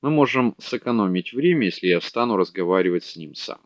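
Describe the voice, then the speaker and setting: A man's voice: fairly low pitch, animated, a little fast, no echo, close to an everyday voice. A man voicing an eager, clever young male scholar making a persuasive case, on a dull, dry audiobook recording. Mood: polite. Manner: earnest, persuasive.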